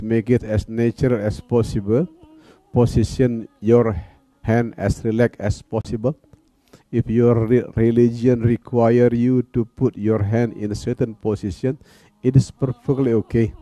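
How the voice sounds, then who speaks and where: A man teaching guided meditation in a calm manner, leaving long pauses between phrases. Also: mid-range voice, dry recording. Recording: dull, lo-fi sound. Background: soft music.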